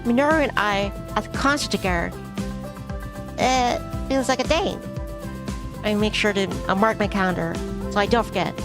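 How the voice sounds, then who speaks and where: A woman reads a visual novel aloud as a streamer, in a mid-pitched voice. Her narration is expressive as it moves between story text and dialogue. Prominent music plays behind her. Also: normal speed, long pauses. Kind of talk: playthrough narration, reading game text aloud.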